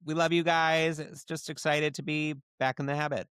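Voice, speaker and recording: A man's voice: on the high side, little pitch movement, normal speed, a bit bright, smooth, dry recording. A man co-hosting a podcast, chatting casually and reading a comic story aloud. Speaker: podcast co-host.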